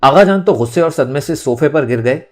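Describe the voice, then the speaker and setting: A man narrating a novel in an expressive, dramatised storytelling style. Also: fairly high pitch, a little fast, faint music behind. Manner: expressive, acts out dialogue. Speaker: audiobook narrator.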